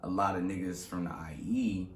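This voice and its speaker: A young man with a very low voice chats about where he comes from in a casual, slangy way. He speaks in an echoey room, with a faint background hum behind him. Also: normal speed. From Southern California. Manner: slangy, animated storytelling with laughs. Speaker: rapper guest. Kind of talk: casual interview chat.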